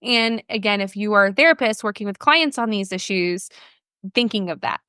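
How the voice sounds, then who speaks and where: An American woman, a therapist guest on a podcast, explaining ideas in an informal, animated conversational way. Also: medium pitch, moderate pace, clear, resonant tone, no room sound, studio quality. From New Orleans, United States.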